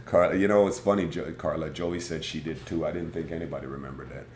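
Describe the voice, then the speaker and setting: A man with a big, booming voice, hosting a casual live stream and telling a rambling, animated story from his school days. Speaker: host. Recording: echoey room with faint background noise.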